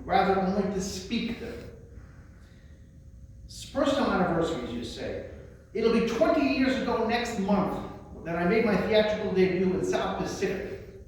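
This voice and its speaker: Male poetry reader, notably high voice, theatrical emphasis, heard from a distance in a very reverberant space. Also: a little mellow. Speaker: librarian presenting a poetry reading. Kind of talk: poetry recitation with spoken introductions. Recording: roomy echo, distant mic, faint noise.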